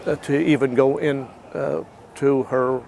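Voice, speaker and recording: Slow, creaky, hesitant American man. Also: medium pitch, fairly even intonation, darkish tone, a little hiss or hum. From Pittsburgh, USA. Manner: halting, hesitant. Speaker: interviewee. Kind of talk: reflective interview answers.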